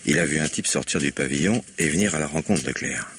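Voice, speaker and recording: An actor playing a deferential, down-to-earth police deputy in an old French radio crime drama, speaking colloquially. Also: male voice, a bass voice, quick pace, a bit bright, slight background noise. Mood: matter-of-fact.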